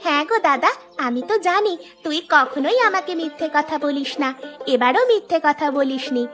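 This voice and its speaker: A woman's voice: high-pitched, moderate pace, fairly bright tone, faint music behind. A woman playing a happy, trusting little village girl, a younger sister in a cartoon drama, speaking sweetly. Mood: happy, relieved. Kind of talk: dramatic Bengali cartoon dialogue dubbing.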